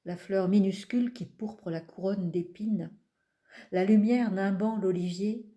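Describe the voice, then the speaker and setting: A woman poet reading her own poems aloud in a calm, measured way, pausing between phrases. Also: mid-range voice. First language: not French. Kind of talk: slow poetry reading.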